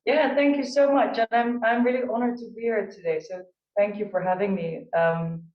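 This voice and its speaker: A woman's voice: fairly high pitch, steady tone, normal speed. A woman with a Dutch accent, speaking on a virtual panel as a procurement executive, earnest and fluent with frequent restarts. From Netherlands. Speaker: panelist, chief procurement officer. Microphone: video call. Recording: roomy, distant, dull video-call audio.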